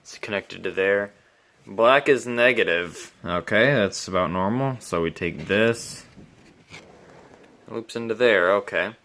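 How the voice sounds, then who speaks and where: A man giving casual, unscripted commentary as he repairs a vintage radio, thinking aloud in a halting, musing way. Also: on the low side, fairly bright tone.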